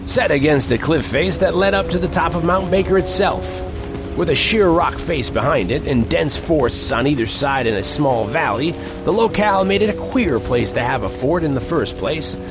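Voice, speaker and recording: A man playing an earnest reporter who narrates an eerie western expedition in a deliberate, descriptive way for an audio drama. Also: on the high side, natural voice. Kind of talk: first-person scripted audio drama narration. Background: music.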